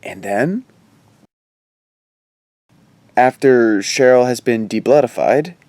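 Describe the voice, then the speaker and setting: A man's voice: medium pitch, animated, measured pace, darkish tone, no room sound, close to an everyday voice. An actor playing a wry, skeptical teenage boy who talks in casual, sardonic slang in an audio drama. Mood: casual, curious. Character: wry, pop-culture-quoting skeptical teenage boy sidekick. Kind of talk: conversational audio drama acting.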